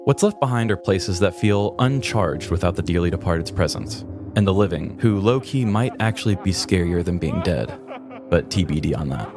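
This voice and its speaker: Low-voiced man hosting a podcast. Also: animated, normal speed, music in the background. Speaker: podcast host and story writer. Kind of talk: casual podcast talk and narration.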